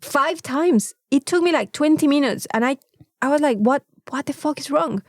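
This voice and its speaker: A woman with a Mexican accent telling an anecdote in a casual, animated podcast chat, swearing freely. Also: high-pitched, moderate pace, dry recording, clean studio sound. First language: Spanish. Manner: animated storytelling with frequent swearing. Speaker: podcast co-host.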